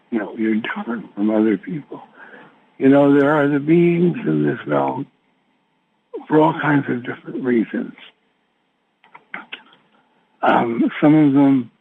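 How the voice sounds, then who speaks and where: A man hosting a spiritual conference call, rambling informally and haltingly as he searches for his words. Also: mid-range voice, creaky, slightly rough. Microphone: telephone conference call. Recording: tinny phone line with background hiss.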